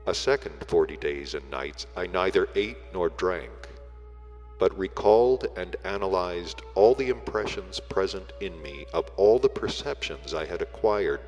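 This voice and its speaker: Deep-voiced man reading solemnly in long reverb. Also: a little mellow. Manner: measured, deliberate, broken into weighty phrases. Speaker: audiobook reader. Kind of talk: scripted narration, solemn book reading. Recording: long reverb, music behind the voice. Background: music.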